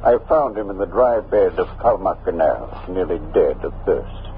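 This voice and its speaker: An actor playing a gentle, fervent old hermit preacher, speaking in measured biblical oratory in a 1950s radio drama. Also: male voice, low voice, sing-song. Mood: calm, dignified. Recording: dull, noisy old radio recording.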